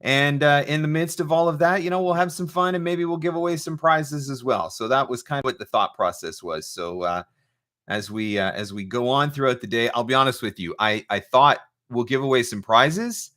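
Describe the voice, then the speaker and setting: Bright, forceful man, casual host. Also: medium pitch, normal speed. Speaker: livestream host and voiceover marketing coach. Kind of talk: casual live chat, off the cuff.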